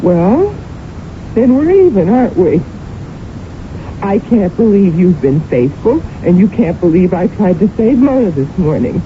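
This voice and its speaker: An actress playing an anguished, defensive, jealous wife in a radio mystery drama, speaking in a theatrical, halting way. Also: female voice, fairly low pitch, very animated. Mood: wounded, defensive. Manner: theatrical, halting, emotionally intense. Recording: noisy, narrow-band old radio recording.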